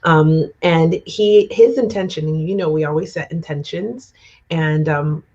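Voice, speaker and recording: A woman's voice: low-pitched, a little slow, a little mellow, lo-fi sound. A woman co-hosting a live talk show, gushing as she introduces a guest, with many fillers. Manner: effusive, gushing praise with many "um"s. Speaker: co-host.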